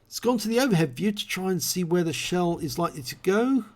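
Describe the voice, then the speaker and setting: A man with a hoarse voice after a cold, calmly explaining and calling the play in a video game replay. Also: sits high, fast talker.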